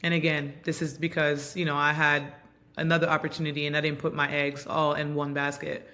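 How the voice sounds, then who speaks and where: A woman in her thirties from Montreal, whose first language is Haitian Creole, giving reflective, earnest interview answers with frequent pauses and ums. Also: deep voice, level tone, bright, forceful tone. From Montreal, Canada. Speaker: guest, basketball player and coach.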